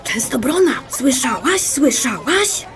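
A woman playing an excited medieval peasant gossip in an audio drama, full of news and rustic in manner. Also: high-pitched, slow, soft background music, exaggerated voice.

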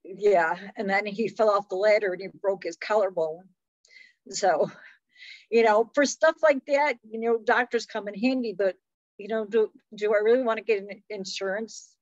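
A woman's voice: medium pitch, moderate pace, dry recording. A woman in her fifties chatting casually as a podcast host on a video call. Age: 50s. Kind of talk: casual conversational interview. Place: small room at home, indoors. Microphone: computer mic on video call. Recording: dull lo-fi video-call audio.

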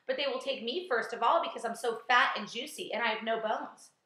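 A woman's voice: a little high, a little fast, pushed and bright, voice pushed up. A woman voicing a frantic, self-pitying earthworm who wails and exclaims in a children's storybook. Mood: wailing. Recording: echoey, roomy sound.

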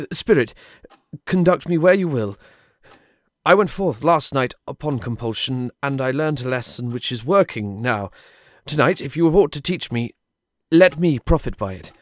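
An actor playing a humbled miser in an audio drama, polite, hesitant and earnest, meekly eager to learn. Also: male voice, mid-range voice, expressive, a little slow, no echo, clean studio sound, lightly acted. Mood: humble, earnest.

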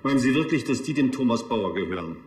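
An actor playing a polite, businesslike funeral director in a TV crime drama. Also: male voice, mid-range voice, animated, fast, roomy sound, a little hiss or hum, lightly acted. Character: polite funeral director answering police questions.

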